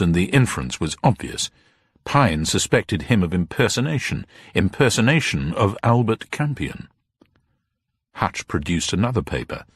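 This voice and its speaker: A man's voice: low voice, slightly rough, dry recording, clean studio sound. A man reading a detective story as a dramatic scripted narration. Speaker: audiobook narrator.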